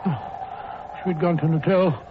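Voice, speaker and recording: A man's voice: fairly high pitch, unhurried, lightly acted. Man playing a flustered nervous doctor. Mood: grumbling. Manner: flustered, stammering. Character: nervous, grumbling English doctor companion. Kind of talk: 1940s radio acting. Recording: tinny old radio recording with hiss.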